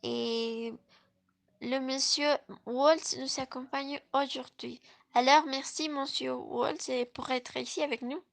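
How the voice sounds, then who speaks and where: Slow, gravelly, high-voiced woman host. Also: steady tone. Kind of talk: scripted interview hosting.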